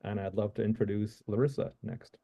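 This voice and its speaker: A man from Puerto Rico introducing himself and guests at an online literary reading, in a friendly, relaxed way. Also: fairly low pitch, brisk, highs cut off. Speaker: host. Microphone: video call.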